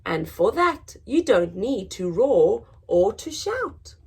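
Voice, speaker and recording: A woman reading a children's picture book aloud in a dramatic, expressive storytelling manner, moving between hushed and emphatic delivery. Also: medium pitch, slow pace, breathy edge. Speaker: story time reader.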